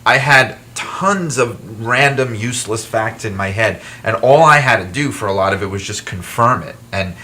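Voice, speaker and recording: A man's voice: on the low side, moderate pace, few pauses. A man, an author guest, talking animatedly in a casual interview, with frequent restarts. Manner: animated, self-deprecating storyteller with frequent restarts. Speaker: author and wrestling historian guest. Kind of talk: casual talk-show interview.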